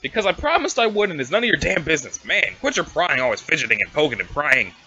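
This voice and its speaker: A man acts out a brash female alien schemer in a comic drama reading. The voice is fairly high and animated, with cocky, sarcastic needling. It is recorded a little dully, like a home setup. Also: quick pace, breathy edge, highs cut off, exaggerated voice. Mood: bossy, scheming. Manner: snarky, bossy, casual needling.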